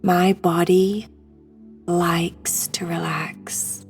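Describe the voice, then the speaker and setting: A woman guiding a sleep meditation in a hushed, soothing, breathy voice that often nears a whisper. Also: on the low side, little pitch movement, extremely slow, soft background music. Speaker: meditation guide.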